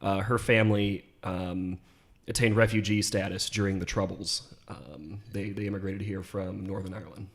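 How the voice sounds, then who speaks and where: A man from Ohio, the co-host, speaking haltingly and correcting himself. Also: low-pitched, fairly even intonation, fairly bright tone, breathy edge.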